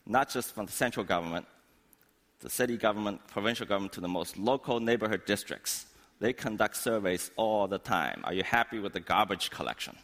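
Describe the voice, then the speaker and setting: A man speaks assertively as an interview guest, throwing in rhetorical questions. His voice sits low and carries a long reverb tail. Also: fairly bright tone. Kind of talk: opinionated interview answer.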